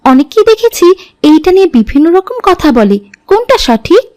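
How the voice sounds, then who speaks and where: Pious, helpful cartoon girl, played by a woman: very high, lively pitch, respectful and earnest. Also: brisk, no echo, clean studio sound. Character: polite, pious, helpful young daughter. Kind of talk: Islamic cartoon dialogue.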